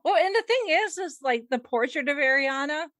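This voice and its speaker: A woman's voice: high-pitched, expressive, fairly quick, fairly bright tone, no echo, clean studio sound. A woman co-hosting a casual fan discussion, chatty and rambling, with lots of "like" and "you know". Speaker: podcast co-host.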